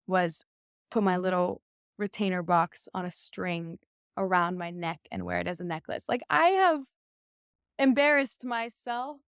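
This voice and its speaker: A woman's voice: medium pitch, smooth, no echo, clean studio sound. A young adult woman whose first language is Persian, speaking as a podcast guest in a candid, chatty way with frequent fillers. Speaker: interview guest, violinist. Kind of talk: casual podcast conversation.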